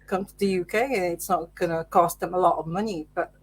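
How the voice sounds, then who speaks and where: A woman's voice: fairly low pitch, steady tone, a little fast, a little hiss or hum. A woman hosting a podcast, chatting casually with loose, tentative phrasing. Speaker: podcast host. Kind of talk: casual interview chat.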